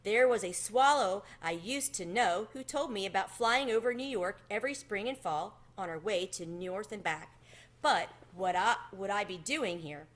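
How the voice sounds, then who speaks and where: A woman's voice: medium pitch, expressive, moderate pace, close to an everyday voice. A woman voicing a polite, modest male country cricket in a children's storybook, earnestly telling his adventure. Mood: uneasy. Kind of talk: children's storybook read-aloud.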